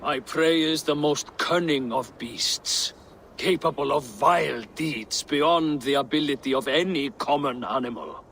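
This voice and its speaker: An actor playing a grim, superstitious, vengeful hunter in a video game, speaking with dramatic intensity. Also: male voice, on the high side, a little slow, fairly bright tone. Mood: bitter. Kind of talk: video game character acting. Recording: clear background noise and ambience.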